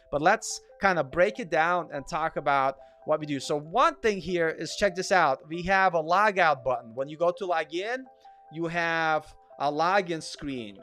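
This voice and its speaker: A man's voice: a little high, a bit bright. Chatty man with a slight Russian accent. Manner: chatty, reads out chat comments, repeats himself. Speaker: livestream host, developer. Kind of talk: casual live Q&A.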